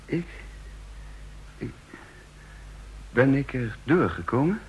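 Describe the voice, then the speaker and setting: A man playing a shaken, brooding secret agent who narrates his thoughts in measured, husky tones in a Dutch radio drama. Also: medium pitch, big pitch swings, a little mellow, lo-fi sound, a little hiss or hum. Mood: stunned.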